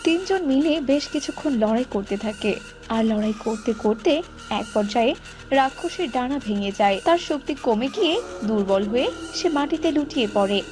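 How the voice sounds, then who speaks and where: A woman reads scripted narration for a cartoon story. Her voice is on the high side. Light music plays behind her. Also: expressive, fairly quick, darkish tone.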